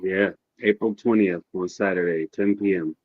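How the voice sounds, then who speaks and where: A man as podcast co-host, speaking softly in a laid-back, hesitant casual chat. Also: deep voice, fairly even intonation, moderate pace, no room sound, dull audio.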